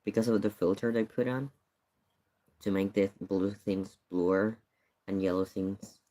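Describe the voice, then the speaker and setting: A man's voice: fairly low pitch, little pitch movement, measured pace, dark tone. A man streaming and chatting casually over a video, making rambling, offhand remarks.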